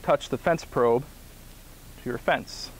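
A man's voice: mid-range voice, slow, a little hiss or hum, low-quality recording. A man in his thirties giving a plain step-by-step demonstration outdoors in a grassy field, speaking in short phrases while he works. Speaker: product demonstrator.

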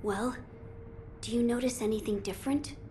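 Woman as a young noble boy, gentle, formal, earnest, measured, video game drama, background ambience. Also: a little high, slight background noise, close to an everyday voice. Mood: soft, pensive. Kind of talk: scripted video game dialogue.

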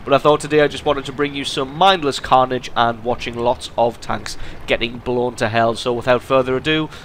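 A man's voice: medium pitch, fairly quick, slight background noise. Man with a cold narrating a game replay. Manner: lively, amused commentary with chuckles. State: has a cold. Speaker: gaming channel host.

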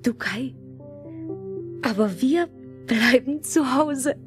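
A woman's voice: high voice, takes it slowly, faint music behind. A woman playing an affectionate, playful little girl in a fairy-tale audio drama, dreamy and childlike.

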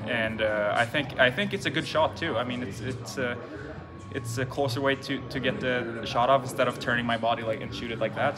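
Young hockey player, halting postgame answers. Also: male voice, medium pitch. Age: young adult. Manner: halting, repeats words and restarts, relaxed. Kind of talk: postgame press interview. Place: indoor press room before a sponsor backdrop. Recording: loud music playing behind. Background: loud music.

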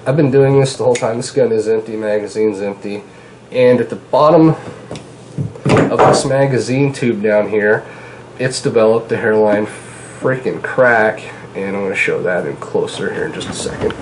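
A man's voice: lowish voice, moderate pace, noisy background. Stuffy-nosed man chatting at his kitchen table. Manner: relaxed, chatty, many fillers. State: stuffy nose from a cold. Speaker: gun channel host. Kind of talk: casual product vlog. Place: home kitchen, indoors.

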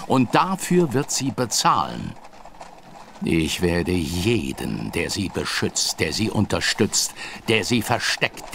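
A man's voice: lowish voice, slight background noise. An actor playing a ruthless, vengeful aristocrat and grieving father who makes cold, clipped threats in a radio drama. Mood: menacing. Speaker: villain.

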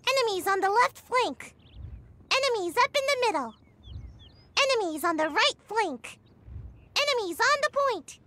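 Very high, perky cartoon fox girl. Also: very animated, normal speed, pauses often, sharp tone, slight background noise, heavily acted. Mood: brisk, commanding. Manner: perky, playful, short exclamatory callouts. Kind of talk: video game character voice lines.

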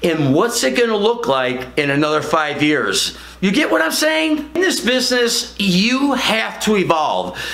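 High-voiced, lively older man selling hard. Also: unhurried, creaky, few pauses, reverberant. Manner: emphatic, salesy, animated hand gestures. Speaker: franchise company founder. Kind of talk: direct-to-camera sales pitch. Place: indoor studio with plain gray backdrop.

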